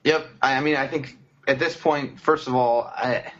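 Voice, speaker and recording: A man co-hosts a casual sports podcast at an ordinary pitch, thinking aloud with fillers and restarts. His voice comes through slightly muffled, with a dull lo-fi quality. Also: steady tone, moderate pace, a bit bright. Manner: conversational, frequent fillers and restarts. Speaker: podcast co-host.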